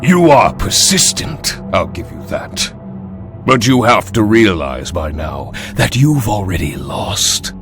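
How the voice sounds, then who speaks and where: A man's voice: on the low side, very animated, over music. Man playing a smug, gloating supervillain. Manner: mocking, theatrical, deliberate. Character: smug gloating villain plotting to destroy the world.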